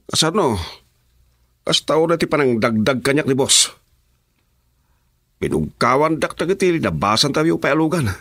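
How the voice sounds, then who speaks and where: A man's voice: a little high, animated, normal speed, long pauses, a bit bright, dry recording, clean studio sound. A man with an Ilocano accent playing a dutiful, deferential underling in a radio drama. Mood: casual. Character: dutiful male underling reporting to his boss's wife.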